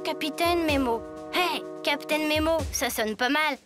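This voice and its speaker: A woman's voice: high voice, normal speed, over music, close to an everyday voice. A woman voicing a plucky, easygoing young schoolboy hero in a cartoon, often bemused. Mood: curious, upbeat. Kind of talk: cartoon dub.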